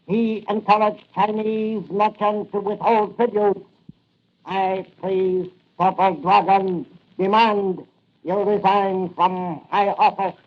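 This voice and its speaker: An actor playing a fanatical villainous priest in an old radio melodrama, chanting solemn pronouncements in broken English. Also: male voice, high voice, flat delivery, slow. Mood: menacing, solemn. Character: menacing fanatical masked cult priest, male villain. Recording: muffled vintage radio recording.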